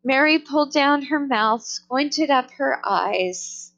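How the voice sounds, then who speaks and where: High-voiced woman reading slowly to children. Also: animated, fairly bright tone. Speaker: storybook reader. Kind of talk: children's story read-aloud. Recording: dull, lo-fi sound.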